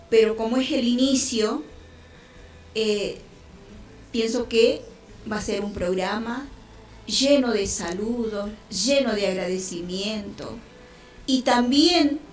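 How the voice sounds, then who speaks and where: Slow-talking Quechua-speaking woman radio host. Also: on the high side. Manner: unhurried, with frequent pauses and restarts. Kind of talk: warm-hearted radio opening, bilingual greetings. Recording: roomy studio with faint music.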